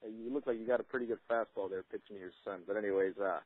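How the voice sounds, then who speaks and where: A male reporter with a slightly low, rough-edged voice puts casual questions over the phone. The line is thin and dry. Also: steady tone, racing, no room sound. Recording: tinny narrow phone line.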